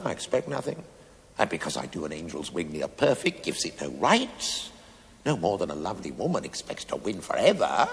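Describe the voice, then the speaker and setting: An actor playing a wry, fatalistic stonemason in a television play, philosophising in a declamatory way. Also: male voice, extremely low pitch, vocal fry, exaggerated voice. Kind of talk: television stage-play acting. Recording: echoey hall with long reverb.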